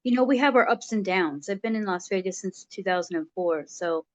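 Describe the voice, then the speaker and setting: A woman's voice: medium pitch, brisk, lo-fi sound. A woman hosting an interview show, asking her guest questions in a friendly, upbeat way. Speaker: show host.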